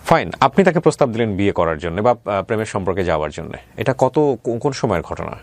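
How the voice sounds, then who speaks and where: A man's voice: fairly low pitch, very animated, fast, darkish tone, no room sound. A Bangladeshi man hosting a conversational interview, asking probing questions and mixing English words into his speech. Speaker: talk show host interviewer.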